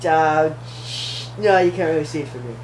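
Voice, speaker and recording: A man's voice: fairly high pitch, moderate pace, roomy sound, slight background noise. A teenage boy co-hosting a casual vlog at home, teasing and wisecracking. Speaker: vlog co-host. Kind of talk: casual chat.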